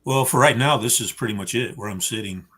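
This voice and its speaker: A man's voice: fairly low pitch, quick pace, sharp tone, husky. A man chatting casually as a panel guest, wry and a little rambling. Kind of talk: casual chat.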